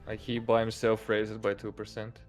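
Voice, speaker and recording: A low-voiced man tosses dry, quick quips into a casual chat among friends. Faint music sits behind him. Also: monotone.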